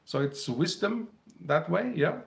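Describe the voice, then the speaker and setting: A Norwegian-accented man talks at an unhurried, drawn-out pace into his phone while hosting a live stream. His voice sits fairly high for a man. He reads aloud in a gentle, easygoing way and chuckles often. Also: expressive, gravelly. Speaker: host of a live spiritual stream. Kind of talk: casual live-stream chat, reading comments and passages aloud.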